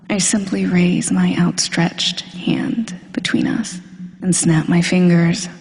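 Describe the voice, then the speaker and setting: A woman playing a smug, domineering female supervillain hypnotist in a scripted roleplay audio, breathy and deliberate, often dropping into a whisper. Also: lowish voice, a little mellow. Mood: smug, teasing. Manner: slow, deliberate, hypnotic, commanding. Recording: long hall-like reverb.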